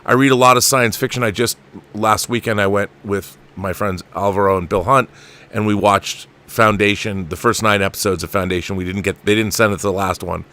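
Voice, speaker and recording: A man's voice: on the low side, fairly even intonation, a little fast, bright, forceful tone. A middle-aged man hosting an interview in an enthusiastic, emphatic and effusive way. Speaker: show host.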